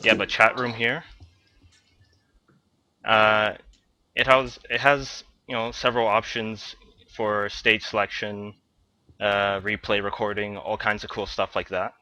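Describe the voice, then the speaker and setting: Man, lowish voice, bright tone, casual demo talk, lots of fillers. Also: flat delivery, measured pace, long pauses, dry recording. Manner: loose, filler-heavy demo walkthrough, lots of "you know". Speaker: game developer presenting a livestream. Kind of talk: casual livestream chat.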